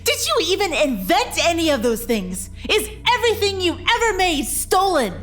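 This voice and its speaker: A woman playing an excitable, hot-tempered young female inventor in an audio drama, exclaiming and accusing with emphasis. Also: very high voice, moderate pace, full character voice. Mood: outraged.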